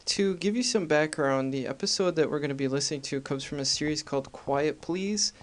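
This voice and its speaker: A man with a fairly high, clean voice hosts a casual podcast. He introduces the episode informally, with a joking, self-deprecating manner. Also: fairly quick. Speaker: podcast host.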